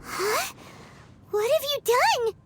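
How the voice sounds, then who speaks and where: A woman voicing a tiny, excitable, childlike fairy companion in a video game, with a squeaky voice and blurting manner. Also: slow, very noisy, heavily acted. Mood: confused, alarmed. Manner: blurting, exclamatory. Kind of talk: cartoonish video game voice acting. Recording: voice under loud background music.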